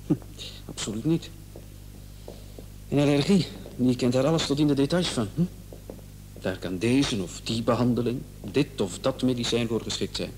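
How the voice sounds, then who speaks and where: A man's voice: medium pitch, moderate pace, long pauses, a little mellow, close to an everyday voice, rough recording. A man in a radio drama playing a hospital doctor who is placating and condescending. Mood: defensive. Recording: old radio play, faint noise and hum.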